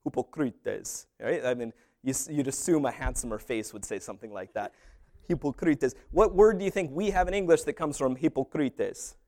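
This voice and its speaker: A man preaching a sermon as pastor, animated, with humorous asides and little acted-out dialogues. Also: medium pitch, moderate pace.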